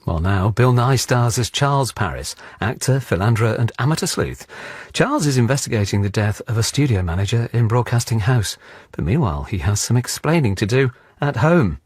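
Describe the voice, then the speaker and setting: Husky, lively male continuity announcer. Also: medium pitch, brisk, few pauses, dry recording, clean studio sound. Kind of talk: scripted programme introduction.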